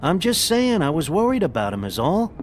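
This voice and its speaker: Sardonic talking pig, lively high pitch. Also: male voice, a little fast, a little hiss or hum. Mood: challenging, worried. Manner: sardonic, slangy, informal. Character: wisecracking, blunt talking pig, loyal male friend. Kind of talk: video game voice acting.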